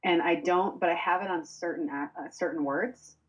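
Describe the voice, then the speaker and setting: A woman's voice: lowish voice, moderate pace, poor audio. A young woman from Boston, a music blogger, chatting casually as a podcast guest with lots of fillers and restarts. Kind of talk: casual interview chat.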